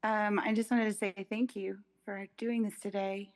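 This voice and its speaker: A woman's voice: mid-range voice, flat delivery, moderate pace. A woman from the United States giving an earnest, thoughtful comment as an audience member, unscripted. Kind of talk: spontaneous, reflective comment. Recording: reverberant hall sound.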